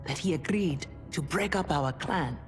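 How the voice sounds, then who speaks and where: An actress playing a principled female clan warrior who speaks quietly and with restraint. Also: low voice, measured pace. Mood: doubtful. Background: cinematic music.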